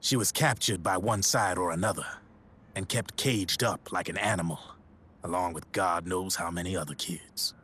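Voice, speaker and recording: A man voicing a slick, worldly arms dealer in a video game, talking in a measured, knowing storyteller's manner. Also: low-pitched, bright, forceful tone, a little hiss or hum. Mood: somber, dramatic. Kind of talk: video game cutscene acting.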